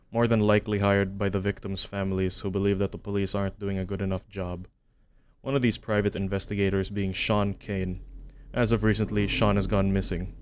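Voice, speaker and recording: A man narrating a horror commentary as a video essayist, measured and matter-of-fact, reading from a script. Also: lowish voice, a little hiss or hum. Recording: music under the voice-over. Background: background music.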